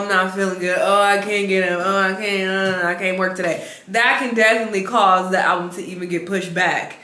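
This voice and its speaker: Woman reaction host; sniffly, blunt and chatty, bright forceful tone, roomy echo. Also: mid-range voice. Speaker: reaction vlogger. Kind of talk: casual reaction commentary. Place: rented home full of plants.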